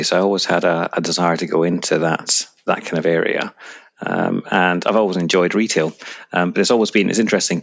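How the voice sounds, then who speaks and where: A man's voice: deep voice, gravelly, a bit bright. A middle-aged Scottish man answering interview questions conversationally as an executive guest. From Edinburgh, Scotland.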